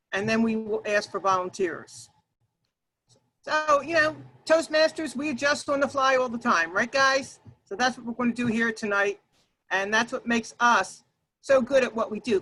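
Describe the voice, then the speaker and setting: A woman with a loud, projecting voice leads an online workshop, addressing her listeners directly. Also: a little high, slight background noise, poor audio. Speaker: workshop leader and Toastmasters speaker. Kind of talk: workshop presentation to an online group. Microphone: video call.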